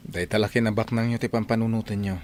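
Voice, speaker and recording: A man acting a defiant young son in an audio drama. His voice sits fairly low, and he speaks with stubborn, exasperated resolve. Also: level tone, normal speed, lightly acted.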